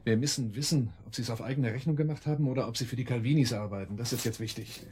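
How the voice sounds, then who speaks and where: An actor playing a cold, calmly cruel crime boss in a radio drama, commanding, sardonic and deliberate. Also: male voice, medium pitch, slight background noise, lightly acted. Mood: urgent, commanding. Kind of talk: German radio drama acting.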